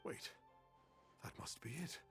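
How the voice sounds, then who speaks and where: An actor playing a florid, theatrical phony mystic in a video game, with very formal speech. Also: male voice, a little slow, a bit bright, close to an everyday voice. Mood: pompous. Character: pompous fake mystic man who turns grovelling when frightened. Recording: faint music behind the voice.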